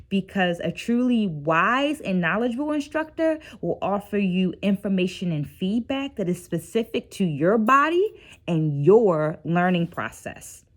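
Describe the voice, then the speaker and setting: Middle-aged woman, slightly low conversational voice. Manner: conversational teacherly, often checks in with "right?". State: tired. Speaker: dance educator and video host. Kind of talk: casual reflective book-discussion vlog.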